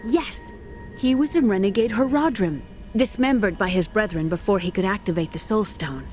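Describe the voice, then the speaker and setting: An eager young scholar, earnest and bookish, in a mid-pitched woman's voice, as an actress plays her. Soft music runs under the game cutscene. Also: normal speed, close to an everyday voice. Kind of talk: video game cutscene acting.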